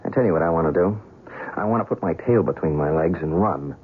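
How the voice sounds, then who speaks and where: A man's voice: low-pitched, quick pace, husky, phone-quality sound. An actor playing a shaken, skeptical and stubborn male reporter in an old-time radio drama, talking in a colloquial, pleading way. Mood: troubled, doubting. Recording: noisy, thin old radio recording.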